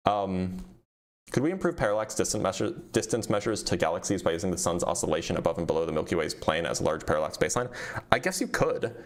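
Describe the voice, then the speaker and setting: A man with a fairly low voice hosts a casual livestream Q&A on a streaming mic. He reads each question out, then answers in a plain, matter-of-fact way full of ums. His voice is starting to wear out. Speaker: physicist answering viewer questions.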